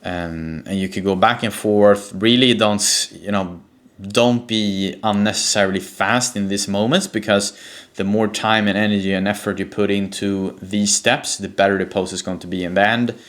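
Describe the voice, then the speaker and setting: A man's voice: lowish voice, steady tone, normal speed, a bit bright. A man from Sweden with a Swedish accent, explaining things informally as an online coach and stressing his key points. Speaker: presenter, personal branding coach for real estate agents. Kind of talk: explanatory talk to camera.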